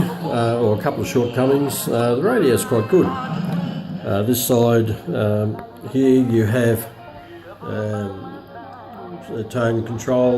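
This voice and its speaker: A man presenting a product review in a casual, unscripted way, explaining features in a hesitant, halting manner. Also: mid-range voice.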